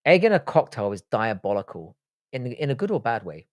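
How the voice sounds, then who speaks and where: A man's voice: mid-range voice, sing-song, a little fast, darkish tone, no room sound, studio quality. A young man, raised in the UK, hosting a live stream and answering viewer questions in blunt, profane, joking chat. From born in Ireland, grew up in the UK. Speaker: livestream host.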